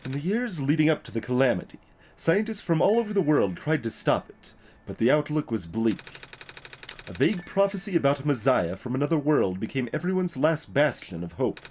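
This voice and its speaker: A man's voice: medium pitch, big pitch swings, a little mellow, a little hiss or hum, lightly acted. A man voicing an earnest, hesitant young male video game character who speaks in careful, formal words. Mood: solemn, explanatory. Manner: halting, careful, formal wording. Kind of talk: voiced reading of video game dialogue.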